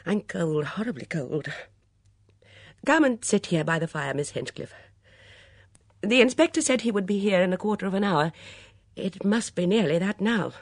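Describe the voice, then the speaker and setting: A woman's voice: mid-range voice, animated, moderate pace, pauses often, no room sound, clean studio sound, voice pushed up. A woman voicing a weary, anxious older lady of the house, strained and fretful, in an audiobook story. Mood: weary, chilled.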